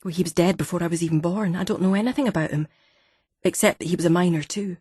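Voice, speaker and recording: A woman's voice: on the low side, fast talker, mellow, husky, dry recording, clean studio sound. A woman voicing a determined young woman searching for her father, with an earnest, pleading manner.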